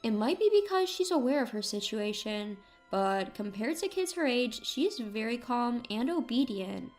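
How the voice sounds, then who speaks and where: High-pitched woman narrating a story. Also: very animated, normal speed, soft background music. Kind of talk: scripted first-person story narration.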